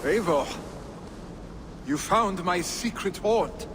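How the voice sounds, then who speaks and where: Brash young Viking fighter for a game, boastful, slightly high voice, swooping delivery. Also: male voice, animated, takes it slowly. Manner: boastful, defiant, informal. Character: brash, carefree young Viking warrior, son of an ailing chief. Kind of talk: video game cutscene acting. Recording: noisy game ambience behind dialogue.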